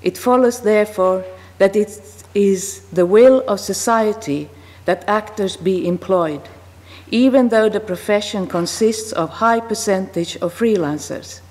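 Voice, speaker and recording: A woman in her fifties with a Finnish accent, giving a careful prepared talk on a panel and pausing over her words with small fillers. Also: mid-range voice, darkish tone. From Finland. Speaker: panel speaker. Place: committee room in a parliament building. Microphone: desk microphone. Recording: long reverb in a large room.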